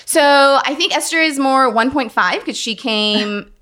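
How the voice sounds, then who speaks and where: A young woman from Georgia, a guest in a casual conversation, chatty, laughing often and trailing off softly. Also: sits high, unhurried. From Georgia, USA.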